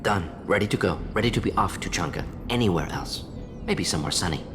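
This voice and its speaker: Clipped, low-voiced alien scientist. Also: male voice, animated, fast. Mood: brisk, composed. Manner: clipped telegraphic sentences, dropping words. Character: brisk older male alien scientist, clinical, burdened by conscience. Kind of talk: video game voice acting. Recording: background music under the voice.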